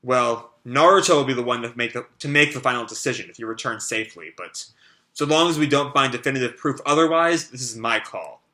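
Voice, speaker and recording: A man reading aloud a comic, voicing a calm, skeptical adult male strategist in a measured, dry way. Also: mid-range voice.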